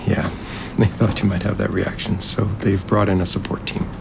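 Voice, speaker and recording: A man's voice: sits low, flat delivery, moderate pace, vocal fry, noisy background. An actor playing a grieving, overwhelmed resort heir in an audio drama, hesitant and apologetic, placating whoever he talks to. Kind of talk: naturalistic audio drama acting.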